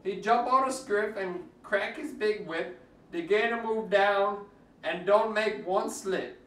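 A man's voice: high voice, slow pace, a bit bright. A man reads a storybook aloud in a theatrical, playful, exaggerated way. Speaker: storyteller reading a picture book aloud. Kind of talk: theatrical storybook reading in Cajun dialect. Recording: echoey room, distant mic.

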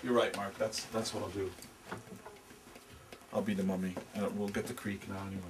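A man's voice: lowish voice, flat delivery, a little fast, distant mic, background noise. An Irish man directing a radio play, chatting casually and joking dryly in a living room. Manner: dry, wry, joking. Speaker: director and producer. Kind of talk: casual chat on set. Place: living room of a large house.

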